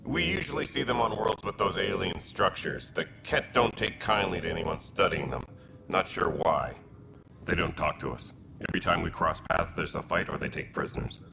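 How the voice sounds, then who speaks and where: An actor playing a gruff, dutiful alien militia leader in a video game, speaking with blunt military terseness in a gravelly voice. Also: male voice, normal speed, heavy background noise. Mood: grim. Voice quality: gravelly, very deep.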